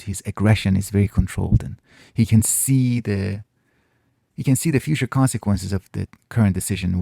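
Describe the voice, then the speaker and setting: A man whose first language is Farsi, hosting a casual Q&A and answering viewer questions in a thoughtful way, often pausing and restarting his phrases. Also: on the low side, creaky, dark tone, dry recording, clean studio sound. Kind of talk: casual reflective Q&A monologue.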